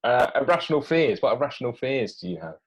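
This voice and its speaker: A man's voice: medium pitch, sing-song, quick pace, husky, rough recording. A man speaking as an interview host in a conversational style.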